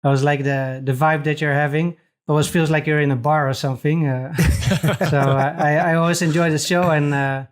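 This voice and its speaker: A man from the Netherlands with a Dutch accent, a podcast guest talking casually with frequent hesitant pauses. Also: a little high, fairly even intonation. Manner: hesitant, many pauses and restarts. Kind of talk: casual interview chat.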